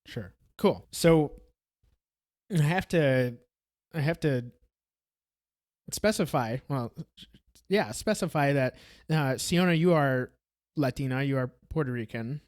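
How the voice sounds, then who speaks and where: A Brazilian-born man with an American accent hosting a casual podcast interview, relaxed and often restarting phrases. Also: medium pitch, slow pace, long pauses. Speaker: podcast host.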